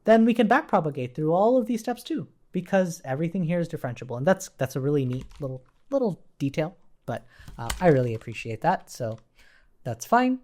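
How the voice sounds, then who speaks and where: A man's voice: a little high, sing-song, a little fast, mellow. A man giving an informal coding tutorial as an instructor, explaining step by step and checking in with rhetorical questions while he types. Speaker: programming instructor.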